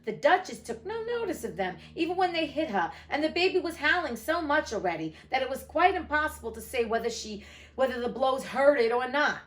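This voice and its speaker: A woman's voice: sits high, very animated, brisk. A woman narrating a storybook theatrically, with casual, irreverent asides. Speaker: host reading a classic novel aloud.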